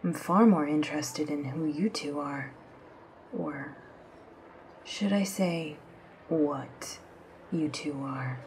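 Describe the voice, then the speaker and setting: A smug, menacing woman of mystery played by an actress in an ASMR drama. She taunts in a low, slow half-whisper, with faint ambience behind her. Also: darkish tone, slight background noise. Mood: smug, taunting. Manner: taunting, drawling.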